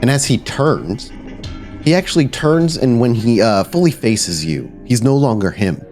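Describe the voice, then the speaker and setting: A man narrating a tabletop horror game as storyteller, suspenseful and deliberate with dramatic pauses. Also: mid-range voice, expressive, a little mellow, faint music behind.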